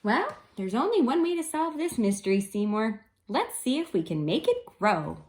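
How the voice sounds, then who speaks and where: A woman's voice: on the high side, big pitch swings, normal speed, a little mellow, a little hiss or hum. A woman voicing a curious, eager cat from a children's picture book, earnest and full of questions.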